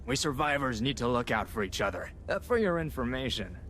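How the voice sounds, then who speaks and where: A man's voice: mid-range voice, very animated, a little fast, a bit bright, a little hiss or hum. A man playing a jovial, shifty survivor in a video game, effusive and eager to disarm. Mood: jovial, nervous. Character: jovial, shifty male survivor squatting in ruins. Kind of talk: video game character acting.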